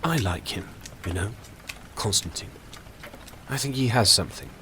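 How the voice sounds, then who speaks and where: Kindly older country doctor, bright voice, courteous, musing, audio drama, some background noise. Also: male voice, fairly low pitch, lively intonation, normal speed.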